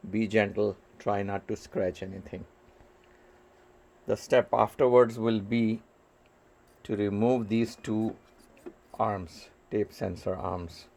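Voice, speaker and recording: A man giving step-by-step hands-on repair instructions in a careful, halting way, pausing between short phrases. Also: on the low side, gravelly, darkish tone. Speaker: repair tutorial host.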